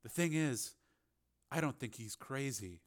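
A guarded female news reporter in an audiobook, voiced by a man, speaking quietly and firmly. The delivery is tense and restrained, with steady determination. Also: mid-range voice, moderate pace, lightly acted. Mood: calm insistence. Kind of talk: acted audiobook dialogue.

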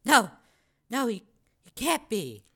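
A woman's voice: fairly high pitch, sing-song, slow pace, pushed and bright, heavily acted. A woman voicing a frightened, tearful teenage girl in an audiobook, pleading and trembling as she talks. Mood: dazed. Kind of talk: audiobook character reading.

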